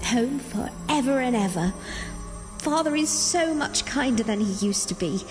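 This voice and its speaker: A sweet eager little sister in a radio play, super reverby, music behind. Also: female voice, on the high side, expressive, unhurried. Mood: loving, eager. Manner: tender, earnest. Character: affectionate, eager little girl, a loving younger sister. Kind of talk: audio drama acting.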